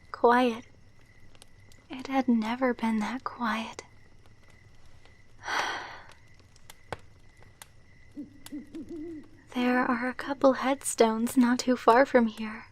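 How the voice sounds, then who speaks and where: A woman playing a gentle, wistful vampire girlfriend in an ASMR roleplay, speaking softly and tenderly. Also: on the high side. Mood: somber, reflective, then sorrowful, tender. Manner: soft, slow, tender, wistful delivery.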